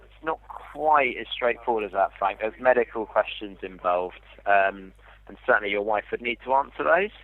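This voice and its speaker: A man's voice: fairly low pitch, lively intonation, moderate pace. A man working as an insurance call handler, patiently explaining things over a tinny phone line. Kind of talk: customer service phone conversation.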